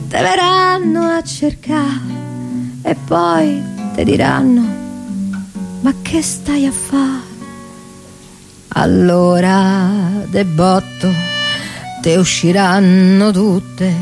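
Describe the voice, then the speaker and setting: A woman's voice: medium pitch, extremely slow, vocal fry, long pauses. Woman singing Roman folk songs over guitar. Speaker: singer. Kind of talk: traditional Roman folk song performance. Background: acoustic guitar and accordion.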